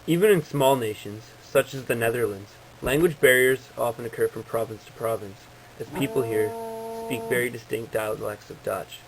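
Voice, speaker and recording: A man with a mid-pitched voice delivers a prepared informational talk. His phrasing is formal and textbook-like, as if read from notes. The amateur recording carries clear background noise. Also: normal speed, low-quality recording. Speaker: presenter.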